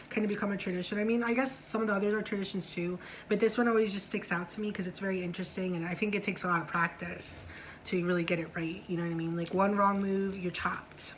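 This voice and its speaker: A woman's voice: mid-range voice, steady tone, quick pace, a bit bright, slight background noise, poor audio. A woman hosting a casual review vlog, chatting in a slangy way and often checking for agreement. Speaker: vlog host reviewing a TV show.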